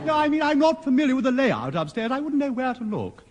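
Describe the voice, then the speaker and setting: A man's voice: extremely high pitch, very animated, fast talker, dull audio, exaggerated voice. A man playing a fussy, timid neighbour in a 1960s radio sitcom, stammering in a flustered, prissy way. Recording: echoey hall, loud noise, old radio.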